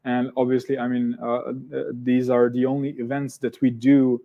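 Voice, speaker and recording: A man with a Serbian accent hosting an online interview, speaking haltingly with many fillers and restarts. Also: medium pitch, completely flat delivery, muffled recording. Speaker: event host.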